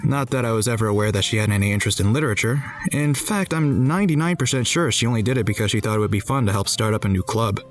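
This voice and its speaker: A man voicing a wry, apathetic high school boy who narrates his school life in the first person for a visual novel dub. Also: expressive, fast talker, few pauses, close to an everyday voice. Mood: dry, wry. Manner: wry, resigned.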